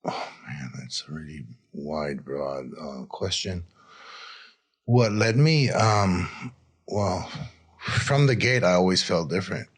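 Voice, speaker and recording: Male interview guest from Israel, very low pitch. Addiction coach telling personal stories in a halting, reflective way. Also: expressive, slightly rough. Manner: halting and reflective, often adds "you know". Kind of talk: candid personal storytelling in an interview.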